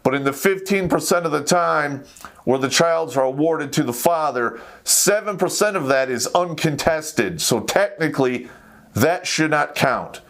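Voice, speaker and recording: A man's voice: on the high side, a bit bright. An American man hosting a talk show, ranting in a blunt, profane, conversational way. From United States. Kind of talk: live opinionated rant to camera.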